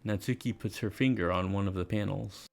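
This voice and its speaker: A man's voice: fairly low pitch, fairly quick, gravelly, soft background music. A man playing an easygoing, self-deprecating teenage schoolboy, casual and reflective, in first-person visual novel narration and dialogue.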